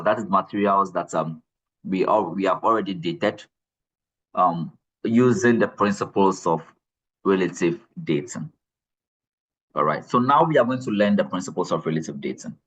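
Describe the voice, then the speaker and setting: A man's voice: on the low side, slightly rough, poor audio. A man giving a geology lecture to students, repeating key points and checking in with "all right?". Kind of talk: classroom lecture.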